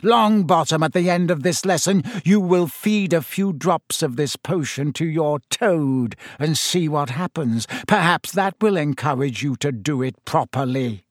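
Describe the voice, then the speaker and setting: A man voicing a cold, menacing, scornful male professor in an audiobook, speaking slowly and deliberately. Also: a little high, dry recording, studio quality. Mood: scathing. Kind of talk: audiobook character voice.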